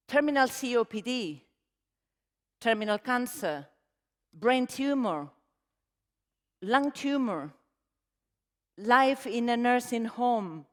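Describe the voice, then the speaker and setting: A middle-aged woman from Spain with a Spanish accent, giving a conference talk in a warm, self-deprecating way with frequent fillers. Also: mid-range voice. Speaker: conference speaker. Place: large conference hall. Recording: reverberant hall, stage mic.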